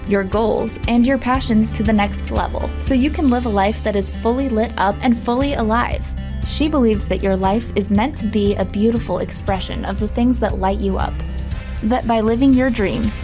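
A woman reading the scripted intro to a show in a polished, inspirational way. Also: fairly high pitch. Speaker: show announcer. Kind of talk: scripted promo voice-over. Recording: voice-over with music behind.

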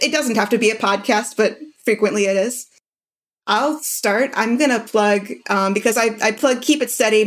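Woman hosting with a medium-pitched voice. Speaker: podcast host. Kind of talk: casual podcast chat.

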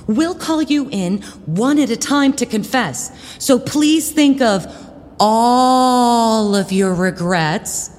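A woman's voice: fairly high pitch, lively intonation, darkish tone. Bossy, swooping-voiced church lady in reverberant hall. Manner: saccharine yet stern, passive-aggressive, dramatic pauses. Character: bossy, prim, judgmental church youth leader woman. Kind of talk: comedic audio drama acting.

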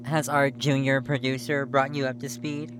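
A domineering female TV producer, cutting and smugly patronizing in an audio drama. The recording has clear background noise and hum. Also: rumbling low, little pitch movement, moderate pace. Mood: brusque. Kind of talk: naturalistic audio drama acting.

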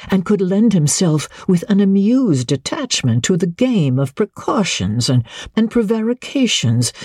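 A woman narrator giving a measured, expressive dramatic reading of literary fiction, with a husky voice. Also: sits low, no echo, clean studio sound. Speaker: audiobook narrator.

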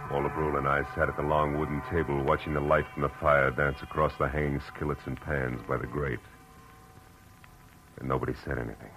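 An actor playing a hard-boiled, world-weary but compassionate private detective in 1940s radio drama style. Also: male voice, very deep voice, low-quality recording. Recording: old radio recording with music.

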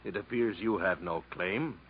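An actor playing an insinuating, hearty-drinking bungalow owner with mock-polite, domineering manner in 1950s radio style. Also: male voice, low voice, normal speed, close to an everyday voice. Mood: hostile, taunting. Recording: dull, noisy vintage radio recording.

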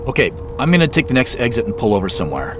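A man's voice: fairly low pitch, wildly swooping pitch, rapid-fire. Concerned male lead, wary, conversational, varied intonation, naturalistic audio drama, music and car sounds behind. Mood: wary, focused. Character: man directing a tail by phone, wary, concerned.

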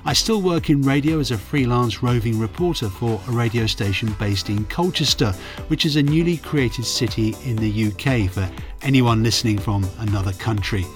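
A middle-aged man with a croaky, hoarse voice, a radio reporter narrating his memories in a friendly, chatty way. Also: medium pitch, normal speed, few pauses, soft background music. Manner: friendly, chatty, self-deprecating. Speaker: radio reporter and podcast host. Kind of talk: reflective storytelling narration.

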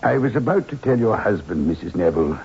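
An actor playing a superstitious, courteous old widower in a 1960s radio horror drama, speaking gravely and hesitantly. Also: male voice, fairly low pitch, sing-song, quick pace, a little mellow. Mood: grave, foreboding. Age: older. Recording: dull, noisy old radio recording.

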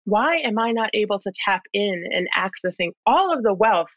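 A dry, slightly muffled recording of a woman with a mid-pitched voice. She talks as an interview guest, thoughtfully laying out her argument and stressing points in a conversational flow. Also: lively intonation, normal speed, no room sound. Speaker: interview guest, expert commentator.

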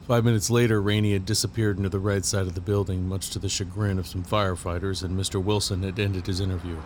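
A man's voice: on the low side, slight background noise, natural voice. A man playing a composed federal agent, narrating an investigation in a terse, matter-of-fact way in an audio drama. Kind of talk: first-person audio drama narration and dialogue.

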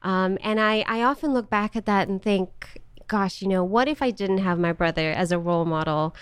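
A woman's voice: mid-range voice, moderate pace, clean tone, no room sound. A middle-aged woman from South Africa, talking casually as an interview guest with frequent fillers and laughs. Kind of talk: conversational interview.

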